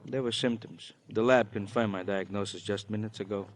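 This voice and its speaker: Low-voiced, weary police doctor played by an actor. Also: male voice, fairly even intonation, moderate pace, slight background noise, natural voice. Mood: grave. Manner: somber, clipped, testy yet caring. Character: weary, compassionate male police hospital doctor. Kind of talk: 1950s radio crime drama acting.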